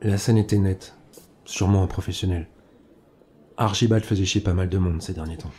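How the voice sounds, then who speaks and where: An actor plays a middle-aged inspector in a crime audio drama. His voice sits fairly low, and he speaks in a restrained, terse, irritated way, gruff but secretly kind. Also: fairly even intonation, moderate pace, dark tone, slight background noise, close to an everyday voice.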